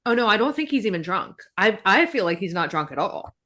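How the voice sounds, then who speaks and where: A woman's voice: medium pitch, sing-song, brisk, sharp tone. A woman co-hosting a podcast in casual reactive chat, blunt and full of opinions. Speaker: podcast co-host.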